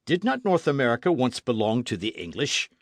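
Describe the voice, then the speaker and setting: A man's voice: medium pitch, sing-song, fairly quick, no echo, clean studio sound. A man voicing a fiery elderly male gun-club veteran who bellows indignantly.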